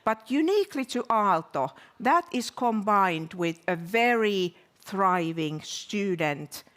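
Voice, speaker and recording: A woman speaking as a university provost, giving a welcome speech to an event audience with frequent pauses and repeated words. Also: mid-range voice, animated, fairly bright tone. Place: live event venue at a university.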